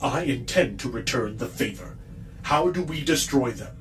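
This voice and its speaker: A man's voice: mid-range voice, animated, moderate pace, natural voice, poor audio. A man acting an embittered alien villain leader in an audio drama, intense and commanding. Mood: bitter, vengeful.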